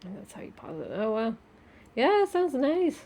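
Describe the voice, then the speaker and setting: A woman's voice: sits high, very animated, moderate pace, dark tone. A woman chatting casually and spontaneously as she tries out a product. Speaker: host's wife, guest trying the product. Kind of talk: casual chat, spontaneous reactions.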